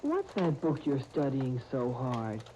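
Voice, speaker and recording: A woman's voice: very deep voice, wildly swooping pitch, slow pace, full character voice. A woman playing a teasing, cheeky young twin brother in a 1930s radio serial. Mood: nosy, teasing. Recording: noisy 1939 radio disc recording.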